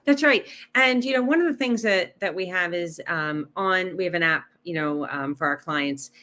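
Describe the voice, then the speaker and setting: A woman speaking as a health coach in a relaxed podcast interview. Her voice sits a little low, and she explains with figures and keeps asking the listener "right?" in an animated, conversational way. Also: moderate pace. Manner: animated, explains with numbers and rhetorical questions. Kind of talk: casual interview chat.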